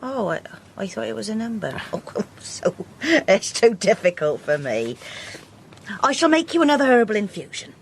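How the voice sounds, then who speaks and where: A woman playing a kind, devout peasant mother in a cottage, speaking gently and homely. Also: medium pitch, very animated, moderate pace, gravelly, slight background noise. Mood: curious, chatty. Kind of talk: radio drama acting.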